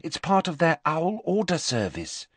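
A man voicing a bookish, exasperated teenage girl in a storybook reading, with a brisk, disapproving, explanatory manner. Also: fairly high pitch, wildly swooping pitch, breathy edge, dry recording, voice pushed up, full character voice. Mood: cool, matter-of-fact.

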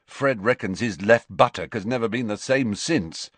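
A man's voice: on the low side, moderate pace, fairly bright tone, dry recording, pitched above the performer's own voice. A man playing a grumpy, naive teenage boy wizard in a storybook, blunt and grumbling. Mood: earnest.

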